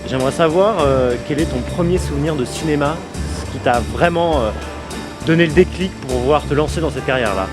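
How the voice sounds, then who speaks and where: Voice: medium pitch, fairly quick. An interviewer asking casual, teasing questions of a guest. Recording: loud music behind voice.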